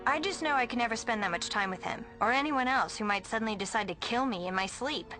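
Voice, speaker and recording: A woman's voice: on the high side, fast, over music, close to an everyday voice. An actress playing a haughty, tactless teenage girl with an American accent in a video game, sarcastic and snippy. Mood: scornful.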